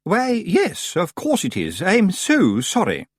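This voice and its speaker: A man voicing a pompous, falsely polite middle-aged woman witch with a high, sugary voice. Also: wildly swooping pitch, takes it slowly, slightly rough, dry recording, clean studio sound, exaggerated voice. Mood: falsely sweet. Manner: falsely polite, gushing, grave.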